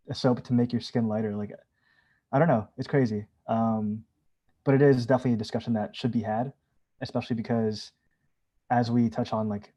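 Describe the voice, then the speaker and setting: A man with a soft, dark, mellow tone and mid-range pitch speaks. He is conversational and earnest, as in a casual group discussion. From family from the Philippines.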